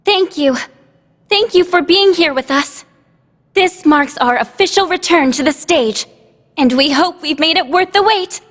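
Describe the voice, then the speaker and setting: Game role: gracious stage performer, very high earnest voice, long hall reverb. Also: female voice, a little slow. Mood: grateful, earnest. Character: gracious female stage performer thanking her audience. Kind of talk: stage greeting.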